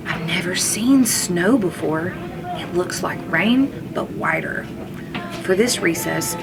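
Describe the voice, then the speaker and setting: A woman reading a children's storybook aloud like a teacher, in an expressive manner. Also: fairly low pitch. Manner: expressive, acts out characters' lines.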